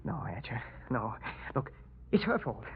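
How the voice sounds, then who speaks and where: An actor playing a young, cowardly blue-blood schemer in a 1950s radio drama, whiny, petulant, nervous and blustering. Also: male voice, mid-range voice, big pitch swings, measured pace, gravelly. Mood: frightened, pleading. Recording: noisy, dull, reverberant vintage radio recording.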